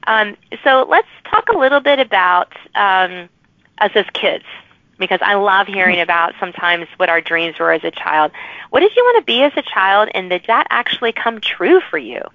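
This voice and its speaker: A woman's voice: medium pitch, expressive, normal speed, no room sound. A woman hosting a casual interview podcast, chatting informally with a slightly lo-fi radio-like sound. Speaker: podcast host and writer.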